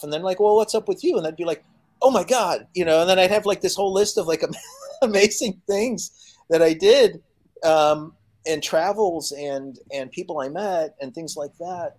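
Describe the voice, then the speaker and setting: A fairly high-voiced man in his fifties from Chicago, speaking from a room at home over a video call. He tells stories as an interview guest, slips into quoted voices and fills gaps with lots of "you know". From Chicago, United States. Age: 50s. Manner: animated storyteller who acts out quoted voices, many fillers.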